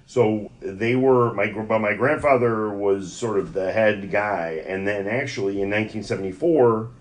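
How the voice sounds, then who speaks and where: Low-voiced middle-aged American man. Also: normal speed. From United States (Yonkers, New York). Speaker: interview guest recounting personal history. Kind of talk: conversational oral-history storytelling.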